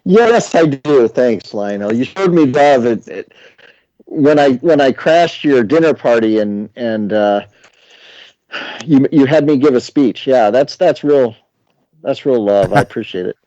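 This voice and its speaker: Mellow-voiced guest man, muffled. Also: mid-range voice, animated, measured pace, dry recording. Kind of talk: casual podcast chat.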